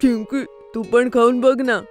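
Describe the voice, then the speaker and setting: An enthusiastic, high-voiced little boy from a children's cartoon, excitable and always hungry for treats. Music runs behind the voice. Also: slow pace. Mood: excited. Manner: enthusiastic, wide-eyed. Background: background music.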